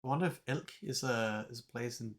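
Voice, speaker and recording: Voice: mid-range voice, fairly quick. A streamer with a Danish accent chatting curiously and laughing often while playing a video game. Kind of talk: casual let's-play chat.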